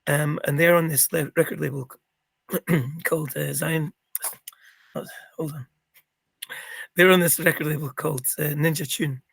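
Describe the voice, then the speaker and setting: A middle-aged man with a croaky, gravelly voice, chatting as an interview guest and rambling with many ums and restarts. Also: a little high, steady tone, moderate pace, pauses often, a bit bright, no room sound. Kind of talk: casual interview chat.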